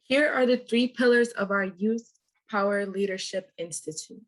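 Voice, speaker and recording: A teenage girl from Minnesota presenting as a youth leader, relaxed and a little halting when she speaks off script. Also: medium pitch. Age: teens.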